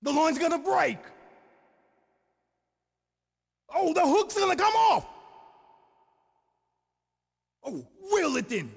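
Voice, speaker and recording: A man voicing an excitable, exclamatory fishing guide who announces and cheers the player on in an arcade video game. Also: very high-pitched, moderate pace, vocal fry, long reverb tail, full character voice. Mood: alarmed, urgent. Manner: exclamatory, theatrical.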